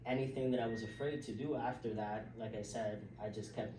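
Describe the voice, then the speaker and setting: A young man from the South Bronx, with Spanish as his first language, talks through his answers in an easygoing, chatty way and laughs often. His voice sits at a middle pitch. He is recorded a little off-mic in an echoey room with steady background noise. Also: a little fast, distant mic. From South Bronx, New York. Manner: relaxed, chatty, many "like" fillers, laughs often. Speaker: interview guest, healthcare worker. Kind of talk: casual interview answers.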